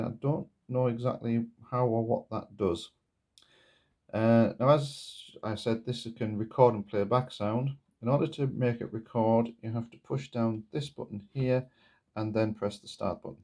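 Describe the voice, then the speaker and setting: A man giving a casual, step-by-step hands-on demonstration in a halting, explanatory way. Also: mid-range voice, warm tone. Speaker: presenter of a gadget demonstration video. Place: indoors at a wooden table.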